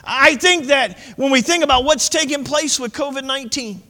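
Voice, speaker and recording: A man's voice: very high voice, moderate pace, pushed and bright, big-room sound. A man in his late thirties, preaching a sermon as pastor, impassioned and conversational with asides to the congregation. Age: 30s.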